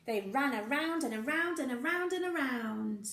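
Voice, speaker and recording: High-pitched woman reading animatedly to young children. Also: normal speed, reverberant. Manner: expressive, playful sound effects, exaggerated delivery. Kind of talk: animated children's read-aloud with questions to the listener.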